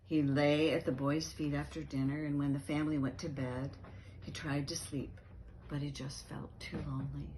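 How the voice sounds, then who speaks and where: A woman's voice: low-pitched, level tone, normal speed, darkish tone, slight background noise, low-quality recording. A woman reading a children's picture book aloud, expressively, stressing key words and adding asides as storyteller. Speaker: storyteller, reading organisation's founder.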